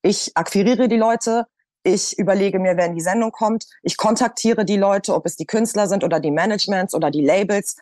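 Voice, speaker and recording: A woman radio presenter from Hamburg talks in a relaxed roundtable, hammering her points home by repeating them. Her voice sits at an ordinary middle pitch for a woman. The recording is close and dry, with no room sound. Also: brisk, dry recording. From Hamburg, Germany. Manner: emphatic, repeats points for stress. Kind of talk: casual roundtable discussion.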